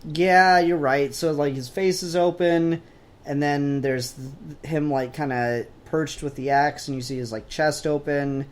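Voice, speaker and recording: A man's voice: on the high side, steady tone, unhurried. Blunt man chatting casually. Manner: opinionated, blunt. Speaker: podcast co-host. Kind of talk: casual comic book discussion.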